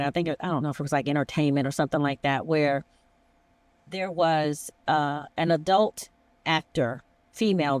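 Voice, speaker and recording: A woman's voice: low-pitched, darkish tone, no echo. Middle-aged female podcast host, emphatic and conversational, close dry recording. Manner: emphatic, acts out imagined dialogue. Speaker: podcast host, emergency dispatch veteran. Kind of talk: conversational discussion.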